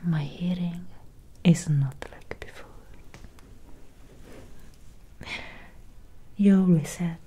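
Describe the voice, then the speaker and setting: Woman playing a whispering, slow-spoken vampire doctor. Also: lowish voice, steady tone, dark tone, highs cut off, a little hiss or hum, natural voice. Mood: gentle, wistful. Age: young adult. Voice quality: breathy whisper. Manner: slow, measured, solemn. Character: centuries-old female vampire doctor, grave, gently caring. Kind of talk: soft-spoken ASMR roleplay.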